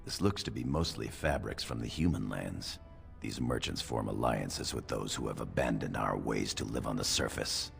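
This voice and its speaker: Deep, gravelly dwarf warrior. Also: male voice, moderate pace, faint music behind, exaggerated voice. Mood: stern, informative. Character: loyal male dwarf warrior knight, second to a noble. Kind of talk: video game voice acting.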